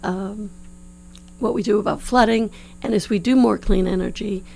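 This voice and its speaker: Slightly hoarse, creaky middle-aged woman. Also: mid-range voice, steady tone, moderate pace, dark tone. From New Orleans, United States. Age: 50s. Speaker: political candidate being interviewed. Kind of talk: conversational interview answers. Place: radio studio. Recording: clear background hum and noise.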